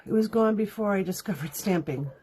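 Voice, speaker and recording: A woman's voice: medium pitch, fairly quick, darkish tone. Congested woman with a nasal voice, easygoing crafting-class host who rambles and chats, light background noise. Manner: chatty, rambling, laughs often. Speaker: card-making instructor. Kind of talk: casual livestream chat.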